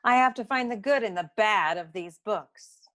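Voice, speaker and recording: A woman's voice: medium pitch, fairly bright tone, close to an everyday voice. An actress playing a blunt, no-nonsense woman who works in a detective's office, in a noir comedy radio play. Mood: exasperated. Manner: brisk, blunt. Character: exasperated, no-nonsense female office associate of a detective. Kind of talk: comic noir radio acting.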